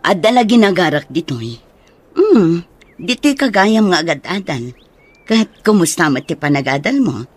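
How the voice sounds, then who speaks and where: A woman's voice: on the low side, sing-song, moderate pace. A woman playing a chatty, easily impressed lady asking questions in an Ilocano radio comedy-drama. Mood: curious, impressed.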